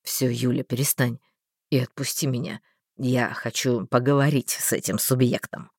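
A woman voicing a stern, tired male sorcerer who speaks in a low, muffled, grumbling tone, recorded dry. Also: steady tone, moderate pace, darkish tone, husky, dry recording, full character voice. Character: stern weary male sorcerer, protective guardian.

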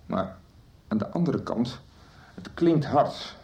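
An actor playing a cold, calculating schemer in a radio soap. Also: male voice, medium pitch, animated, takes it slowly, gravelly, a little mellow. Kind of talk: 1990s radio drama acting.

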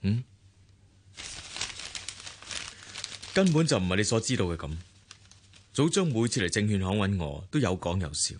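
Husky, animated aggrieved husband, Hong Kong Cantonese. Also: male voice, on the low side, fairly quick. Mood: self-justifying. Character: aggrieved husband confronting his wife, insistent, bitter. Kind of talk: radio drama acting.

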